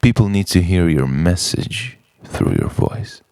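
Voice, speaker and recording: A man delivers introspective spoken-word poetry as a monologue, in a dramatic, deliberate way with pauses between phrases. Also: deep voice, expressive, a little mellow, clean tone, no room sound. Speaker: poet.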